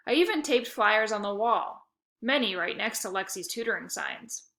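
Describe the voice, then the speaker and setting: A woman reading a children's novel aloud with a lively, expressive storytelling manner. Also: medium pitch, normal speed. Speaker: reader.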